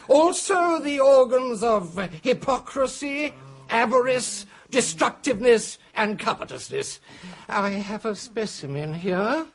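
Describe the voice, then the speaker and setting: A man's voice: high-pitched, slightly rough, full character voice. An actor playing an earnest, pedantic male phrenologist who lectures in a radio drama. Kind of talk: 1980s radio drama acting.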